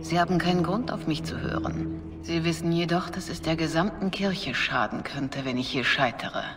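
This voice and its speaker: Game role: actress as a calm, dignified revered mother, middle-aged, measured and formal, regretful undertone, music underneath. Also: sits low, lightly acted. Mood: calm, instructive. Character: composed, remorseful older Orlesian revered mother, a priestess. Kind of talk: dramatic video game voice acting.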